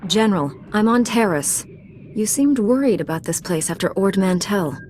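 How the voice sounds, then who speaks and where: A woman's voice: mid-range voice, animated, moderate pace, darkish tone, a little hiss or hum, natural voice. An actress playing a principled female Jedi knight in a video game, speaking in an earnest, firm, composed way.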